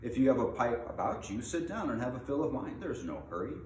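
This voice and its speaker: Man voicing a fussy hobbit gentleman, very animated. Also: medium pitch, fairly quick, natural voice. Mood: genial. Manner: chatty, exclamatory, old-fashioned polite phrasing. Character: fussy, middle-aged male hobbit, polite, easily flustered. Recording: roomy echo, faint background noise.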